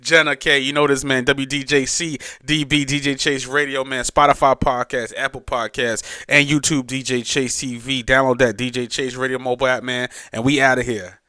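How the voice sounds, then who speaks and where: A man's voice: mid-range voice, level tone, normal speed, hardly any pauses, sharp tone, no room sound, studio quality. A man with a New York accent hosting a casual podcast interview in laid-back slang, leaning on repeated catchphrases. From New York, United States. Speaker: podcast host and radio DJ.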